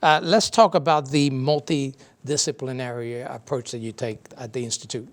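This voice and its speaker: A man hosting a TV interview show, asking relaxed questions with plenty of "uh" fillers. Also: medium pitch, normal speed. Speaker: TV show host.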